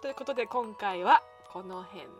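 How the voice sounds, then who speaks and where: A woman's voice: medium pitch, normal speed. A woman hosting a podcast, chatting politely to listeners in an effusive, courteous and self-effacing way. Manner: polite, effusively apologetic and thankful. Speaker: podcast host. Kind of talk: chatty show outro to listeners. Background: music.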